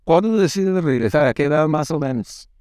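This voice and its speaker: A man hosting a studio interview in an animated, emphatic way. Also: fairly high pitch, fairly quick, dry recording. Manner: animated, emphatic, lots of gestures. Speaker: host. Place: studio set with classroom backdrop. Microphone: lapel mic.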